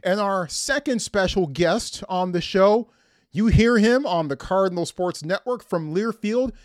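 A man's voice: high-pitched, unhurried, no echo, studio quality. A middle-aged man presenting a sports podcast with the upbeat, emphatic delivery of a play-by-play announcer.

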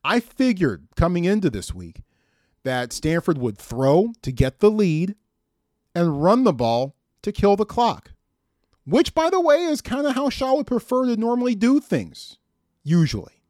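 A higher-voiced man hosts a sports talk podcast in a very lively, swooping delivery. He is chatty and conversational, repeating words for emphasis. The sound is close and dry. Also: a little slow, no room sound. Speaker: podcast host.

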